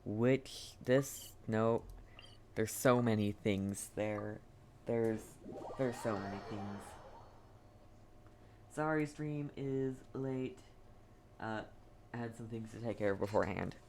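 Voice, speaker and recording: A woman's voice: a bass voice, slow, pauses often, darkish tone, slight background noise. A woman giving casual live game commentary as a streamer, laid-back and often reacting in brief murmurs.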